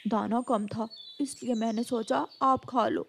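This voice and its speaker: A woman plays a devoted father bird in a children's cartoon. The voice is high and gentle, that of a selfless protector of the family, over faint noise. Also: steady tone, measured pace, gravelly. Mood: gentle, caring.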